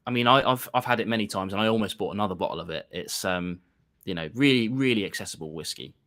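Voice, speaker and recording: A man's voice: on the low side, fast talker, pushed and bright. A man from England chatting as a guest over a video call, enthusiastic, with frequent restarts and fillers. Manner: enthusiastic, repeats words while restarting, many fillers. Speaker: guest reviewer. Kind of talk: casual conversation.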